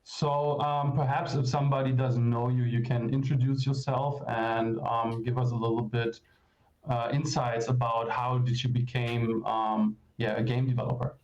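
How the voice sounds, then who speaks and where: A man's voice: medium pitch, flat delivery, moderate pace, a little mellow. A German man with a German accent hosting a casual livestream interview, feeling his way through his questions. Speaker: interviewer and host of a games event. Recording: dull, roomy livestream audio.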